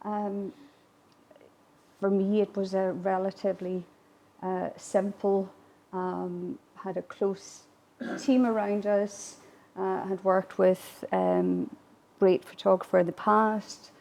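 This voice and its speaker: A woman whose first language is Irish Gaelic, answering interview questions in a reflective way with hesitant pauses mid-phrase. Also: medium pitch, dark tone, rough recording. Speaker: whisky distillery visitor attraction manager. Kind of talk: interview, recounting a business launch.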